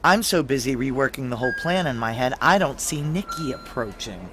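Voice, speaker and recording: An actor playing a determined, tense security chief, narrating a noir audio drama in a clipped, urgent, hard-boiled manner. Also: male voice, medium pitch, lively intonation, brisk, noisy background, lightly acted. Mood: frantic self-reproach. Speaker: narrator and lead character.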